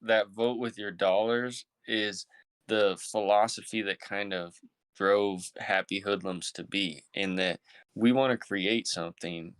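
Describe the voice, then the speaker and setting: Male podcast host, low clean voice, close dry sound, slangy casual talk. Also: fairly even intonation, measured pace, a bit bright, clear, resonant tone, dry recording, rough recording. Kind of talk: casual chat.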